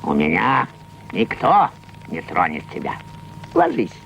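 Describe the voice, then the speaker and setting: An actor voicing a greedy, bad-tempered rich landowner in an animated film, blustering and muttering. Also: male voice, low-pitched, measured pace, gravelly, a little hiss or hum, exaggerated voice, rough recording. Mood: sly, ingratiating. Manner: blustering, exclaiming, muttering to himself. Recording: music behind an old cartoon soundtrack.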